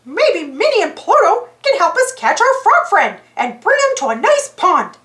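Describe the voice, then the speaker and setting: A woman's voice: extremely high pitch, very animated, normal speed, bright, forceful tone, roomy sound, voice pushed up, heavily acted. A woman voicing a cheerful, eager little male cartoon mouse from a children's storybook. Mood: cheerful, helpful.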